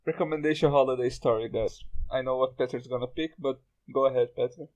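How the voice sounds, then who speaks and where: A man's voice: mid-range voice, fairly even intonation, quick pace, a little mellow, poor audio. A man hosting a podcast in casual conversation with his guests. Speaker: podcast host.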